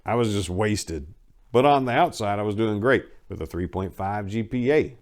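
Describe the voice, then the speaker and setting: Man, lowish pitch, dramatic memoir reader, expressive storytelling, emphatic then hushed. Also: moderate pace. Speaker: host of dramatic book readings. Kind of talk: dramatic first-person memoir reading with commentary.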